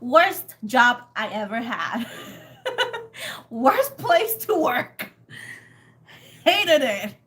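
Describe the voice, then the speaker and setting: Chatty Puerto Rican woman, slightly high voice. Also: sing-song, extremely slow, long pauses, a bit bright. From Puerto Rico. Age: young adult. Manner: chatty and rambling, laughs often, swears casually. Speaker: tarot reader. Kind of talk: casual livestream chat with viewers.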